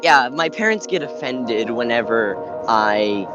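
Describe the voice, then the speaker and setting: A man chatting casually as a podcast panelist in a hesitant, halting way. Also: mid-range voice, animated, lo-fi sound, music in the background. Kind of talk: casual chat.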